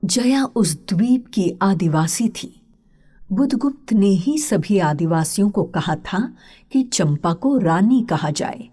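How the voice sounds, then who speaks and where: A woman narrating a literary story as a scripted storyteller, in a husky, breathy voice. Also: mid-range voice, animated, slow, warm tone.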